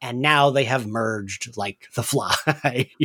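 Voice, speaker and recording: A man's voice: medium pitch, slow pace, pushed and bright, slightly rough, dry recording. Man, podcast host, casual recap style, informal chatty phrasing, dry close recording. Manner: informal, frequent "like" and swearing. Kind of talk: casual episode recap discussion.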